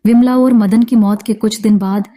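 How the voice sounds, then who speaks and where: A woman's voice: a little high, fairly even intonation, moderate pace, mellow. A woman narrating a scripted horror story as its storyteller.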